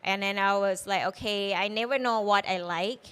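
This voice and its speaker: A Thai woman in her thirties, speaking English with a Thai accent, talks easily in a relaxed interview. Her voice is smooth and clear at an ordinary middle pitch. She strings her thoughts together with plenty of "like" and "you know". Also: moderate pace. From Thailand. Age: 30s. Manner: chatty and loose, full of "you know" and "like". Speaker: chef and guest. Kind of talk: casual interview.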